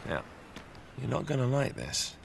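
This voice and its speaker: An actor playing a cocky, smooth-talking British thief in his thirties, breezy and persuasive, in a video game. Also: medium pitch, big pitch swings, moderate pace, noisy background. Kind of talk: cinematic video game acting. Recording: music under the dialogue. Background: background music.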